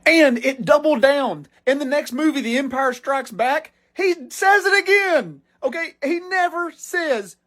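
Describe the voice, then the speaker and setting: High-pitched Southern man, emphatic and chatty. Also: unhurried, hardly any pauses, dry recording, studio quality. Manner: animated, emphatic, repeats phrases for effect. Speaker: host of a pop culture video show. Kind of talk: casual list commentary to viewers.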